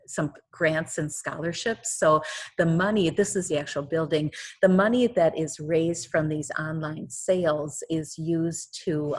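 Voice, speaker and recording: A middle-aged woman with an Upper Midwest American accent giving a casual presentation, rambling with many fillers and restarts. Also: low-pitched. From United States. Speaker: lodge district secretary presenting.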